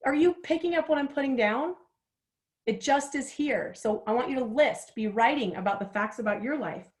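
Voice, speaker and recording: A forty-year-old woman runs a guided writing workshop in a chatty, candid way, often laughing at herself. Her voice sits a little higher than most women's. She talks to her listeners directly and keeps things informal. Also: normal speed. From Utah, United States. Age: 40s. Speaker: workshop host.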